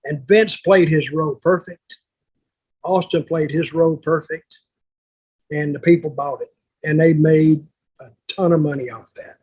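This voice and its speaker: An older man with a raspy voice tells wrestling stories casually as a veteran, bluntly and with salty language. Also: a little high, steady tone, a little slow, pauses often, darkish tone, low-quality recording. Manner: blunt, salty, acts out remembered exchanges. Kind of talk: casual anecdotal storytelling.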